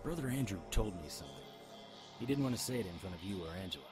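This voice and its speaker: A man's voice: low-pitched, big pitch swings, rapid-fire. Actor as wry male hero over music. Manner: wry, casual. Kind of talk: radio drama acting.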